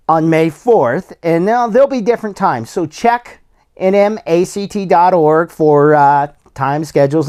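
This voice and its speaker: Slightly hoarse man hosting a podcast. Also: high-pitched, a little mellow. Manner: casual, plain-spoken, many fillers and restarts. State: slightly hoarse, losing his voice. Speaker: podcast host. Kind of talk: solo sports podcast, bracket predictions.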